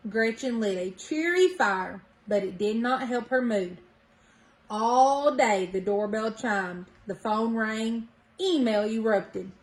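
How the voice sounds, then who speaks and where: A woman storyteller reading a children's picture book aloud in an expressive way, with deliberate dramatic emphasis. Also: fairly high pitch, low-quality recording.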